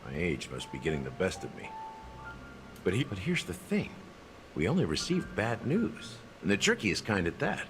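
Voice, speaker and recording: An actor playing a wry, middle-aged male investigator in a video game, telling things in a measured way. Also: low voice, wildly swooping pitch. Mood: frank, weary. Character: older male family investigator and drink mixer, wry, unhurried. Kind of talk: video game story voice acting. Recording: game music softly behind.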